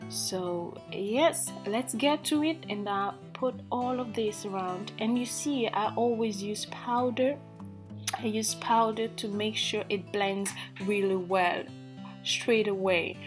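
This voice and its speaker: African woman vlogger, Norwegian-tinged accent, slow unhurried delivery, mid pitch, faint guitar music behind. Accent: African English with Norwegian influence. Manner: slow, hesitant, self-deprecating, many fillers. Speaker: beauty vlogger. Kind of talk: casual makeup tutorial.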